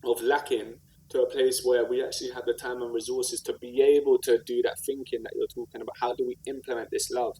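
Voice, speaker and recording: A man with a South London accent talks at a middling pitch in a podcast interview. He is earnest and reflective, using street slang and checking in with the listener as he tells his story. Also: flat delivery, a little fast, hardly any pauses, mellow, poor audio. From South London, England. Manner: earnest, slangy, with repeated "like" and "you know what I mean". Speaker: podcast guest, rapper and community activist.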